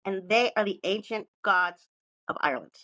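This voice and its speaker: A woman with a medium-pitched voice presents her research on a casual podcast. She moves between reading notes and chatty, irreverent asides with some swearing and self-mockery. The sound is dry, with very little room to it. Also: lively intonation, moderate pace, bright, forceful tone, no room sound.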